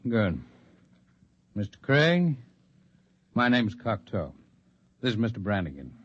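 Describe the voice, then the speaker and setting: An actor playing a composed, articulate leader of an underground freedom movement in 1950s radio drama style, speaking in measured, formal, persuasive speeches. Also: male voice, fairly low pitch, sing-song, husky, heavily acted. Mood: calm, explanatory. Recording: dull lo-fi vintage radio recording.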